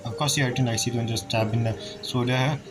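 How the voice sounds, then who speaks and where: Guy doing game commentary, regular pitch, thinking out loud kinda haltingly, game music behind him. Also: steady tone. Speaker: gaming streamer. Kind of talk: game commentary, reacting and narrating.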